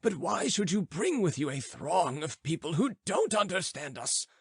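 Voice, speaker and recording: A man's voice: a little high, sing-song, normal speed, slightly rough, dry recording, clean studio sound, voice pushed up, heavily acted. A man voicing an irritable, lofty old philosopher in an audiobook, speaking in formal, indignant declamation. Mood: reproachful, lofty. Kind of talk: audiobook character dialogue.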